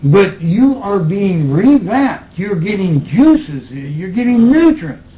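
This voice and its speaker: A man talking slowly and reflectively to a small group in a room, recorded on tape, with laughs between his remarks. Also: high-pitched, sing-song, mellow, lo-fi sound. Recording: old tape recording with faint hiss.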